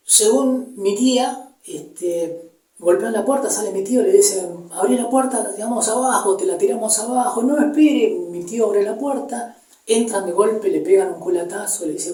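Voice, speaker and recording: A man in his fifties with a Buenos Aires area Argentine accent, recounting personal memories in a halting, reflective way, pausing to search for words. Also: high voice, warm tone. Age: 50s. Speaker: interviewee giving personal testimony. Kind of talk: personal storytelling, unscripted recollection. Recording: echoey room, distant microphone.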